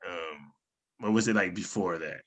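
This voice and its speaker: A man's voice: deep voice, moderate pace, fairly bright tone. A man interviewing a guest, asking brief, upbeat questions in turn.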